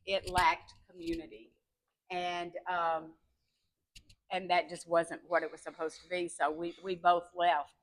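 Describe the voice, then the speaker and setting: A woman who co-founded a community theater, speaking informally at a members' meeting, halting and stopping for fillers. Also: lowish voice, flat delivery, rough recording. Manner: halting, with fillers and self-corrections.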